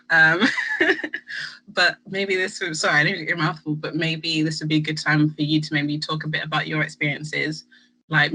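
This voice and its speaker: Bright, husky young woman chatting. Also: sits low, poor audio. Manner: rambling, lots of fillers and laughs. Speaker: student union vice president. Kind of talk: casual chat while eating snacks.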